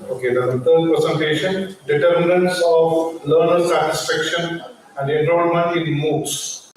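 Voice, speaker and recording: A man's voice: on the high side, steady tone, moderate pace. A man acting as session chair at an academic conference, making formal announcements to open and organise the session. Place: large auditorium. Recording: very echoey hall, distant mic, faint noise.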